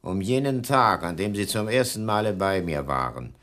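An actor playing a cold-blooded blackmailer in a radio crime drama, speaking in a deliberate, condescending and commanding way. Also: male voice, lowish voice, expressive, fairly bright tone. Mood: coldly menacing. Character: menacing, cold-blooded male bookseller turned blackmailer.

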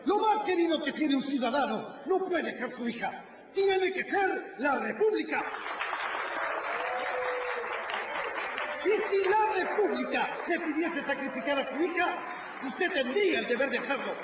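An actor playing a stern, mocking revolutionary judge in a radio drama, declaiming in an imperious, lecturing manner. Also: male voice, extremely high pitch, animated, moderate pace. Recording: dull echoey radio recording, noisy crowd.

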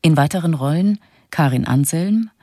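A woman's voice: deep voice, animated, moderate pace, warm tone, dry recording, studio quality. A woman announcing the title and cast of a radio drama in a plain, matter-of-fact way. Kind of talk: reading title and cast list.

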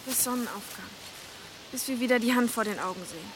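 A woman's voice: a little high, fairly quick, background noise, lightly acted. An actress playing a tough, resentful young woman guide in a German radio drama, curt and scornful.

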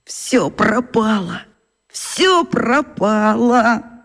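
A woman playing a despairing young schoolboy in a radio play, wailing in a drawn-out way. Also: a little high, lively intonation, gravelly, a bit bright, heavily acted. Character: despairing fifth-grade schoolboy, wailing over lost chance. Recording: long echoing hall reverb.

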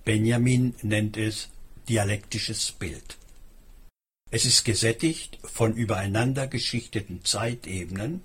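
A man reading a scripted documentary narration in a measured, explanatory manner. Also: fairly low pitch, a bit bright, husky.

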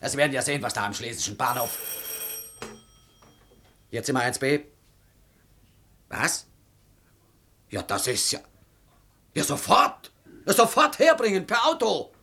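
An actor in a 1950s German radio play, playing a sceptical, blustering imperial police inspector who dismisses things brusquely. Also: male voice, medium pitch, very animated, unhurried, long pauses, pushed and bright, heavily acted. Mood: agitated, officious.

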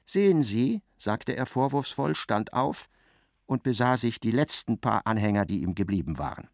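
A man narrating a literary radio drama as a wry, intense storyteller, expressive and precise, with a creaky voice. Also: mid-range voice, normal speed, dry recording, studio quality. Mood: dry, dramatic.

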